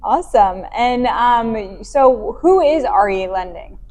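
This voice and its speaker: A woman hosting a casual interview, upbeat with quick reactions. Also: on the high side, lively intonation, darkish tone. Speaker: podcast host. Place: conference venue.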